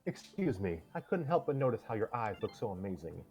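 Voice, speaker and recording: A man voicing a suave, smooth-talking cartoon charmer with a flattering manner. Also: on the low side, a little fast, faint music behind. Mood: seductive.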